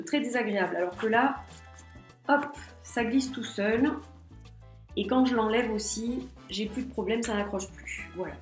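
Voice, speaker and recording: A woman's voice: on the high side, darkish tone. A woman with a slight head cold, chatting hesitantly in a casual vlog as she shows her tarot and oracle cards. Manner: chatty, hesitant, many fillers. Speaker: tarot and oracle card vlogger. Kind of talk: casual show-and-tell vlog. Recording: echoey room with faint music.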